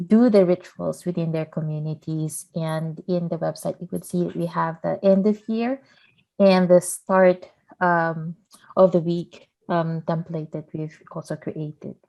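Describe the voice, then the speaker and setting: A woman from the Philippines introducing her work in an online workshop over a video call, soft-spoken and hesitant with many uhs. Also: fairly low pitch, vocal fry. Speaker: community designer.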